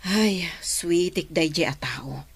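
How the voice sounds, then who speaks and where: A woman's voice: on the low side, slow pace, gravelly, breathy edge, exaggerated voice. Patient, aggrieved village mother, Ilocano accent acted by a woman. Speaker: actor in audio drama. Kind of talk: Ilocano radio-style drama acting.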